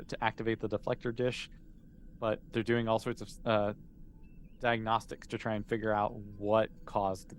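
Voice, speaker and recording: A man acting as game master, improvising narration in a halting way as he thinks aloud. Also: fairly low pitch, slight background noise.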